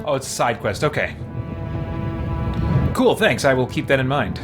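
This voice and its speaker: Casting: male streamer, medium pitch, informal halting gameplay chat, thinking aloud, game music underneath. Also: lively intonation. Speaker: streamer playing a video game. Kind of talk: casual live gameplay commentary.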